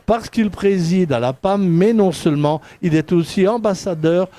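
A man's voice: high voice, normal speed, warm tone. A man with a Liège accent, hosting a live outdoor radio broadcast and interviewing a guest, chatty and prone to restarts. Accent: Liège Belgian French. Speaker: radio show host. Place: outdoors at an event, windy. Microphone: headset.

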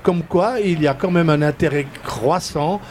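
A man's voice: a little high, moderate pace, a little mellow, slight background noise. A man with a Liège accent, hosting a live outdoor radio broadcast and interviewing a guest, chatty and prone to restarts. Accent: Liège Belgian French. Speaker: radio show host. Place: outdoors at an event, windy. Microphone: headset.

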